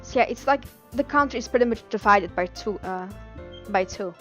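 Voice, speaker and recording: A woman from Belgium with a Dutch accent and Dutch as her native language, live streaming in a giggly, expressive way and sometimes stumbling over words. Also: medium pitch, normal speed, soft background music. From Belgium, Dutch-speaking. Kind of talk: live stream chat and reading game text aloud.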